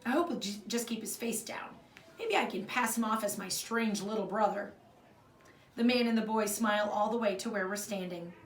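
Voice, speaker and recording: An expressive woman reads a children's storybook aloud in the manner of a primary school teacher. The sound is roomy and a little distant from the mic, with faint noise behind her. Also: medium pitch, moderate pace. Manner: expressive, acts out the dialogue. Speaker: fourth grade teacher reading aloud.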